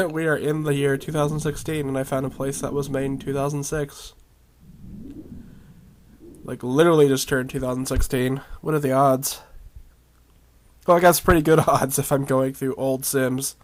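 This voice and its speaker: A man with a hoarse, raspy voice chatting casually as he explores a virtual world. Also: medium pitch, flat delivery, normal speed, pauses often. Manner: rambling, chatty, with coughs and laughs. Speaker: video game vlogger. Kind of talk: casual commentary while exploring a game.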